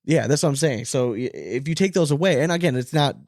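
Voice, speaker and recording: A man's voice: medium pitch, lively intonation, fast talker, darkish tone, no room sound. Emphatic man talking sports, close dry sound. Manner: emphatic, opinionated, swears casually. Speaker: podcast co-host. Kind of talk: casual sports podcast chat.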